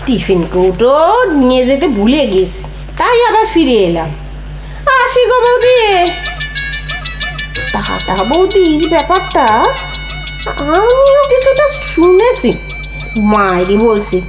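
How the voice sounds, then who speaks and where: A woman's voice: high voice, huge pitch swings, slow, pauses often, echoey room, over music, exaggerated voice. A woman playing a nosy, teasing housemaid in a comedy drama, with a theatrical, gossipy, colloquial delivery. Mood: sly, gossipy. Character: cheeky, nosy housemaid teasing her employers. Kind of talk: Bengali audio comedy play acting.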